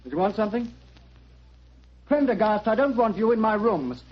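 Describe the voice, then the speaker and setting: A man playing an irritable, haughty club gentleman in a 1940s radio drama, indignant and snappish. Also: high-pitched, big pitch swings, moderate pace. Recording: muffled, noisy 1940s radio recording.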